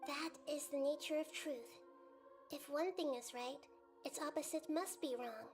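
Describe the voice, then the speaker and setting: A woman voicing a small, wise girl goddess who speaks gently and calmly, often close to a whisper. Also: high voice, soft background music. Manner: soft, measured, often near a whisper. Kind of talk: game cutscene dialogue.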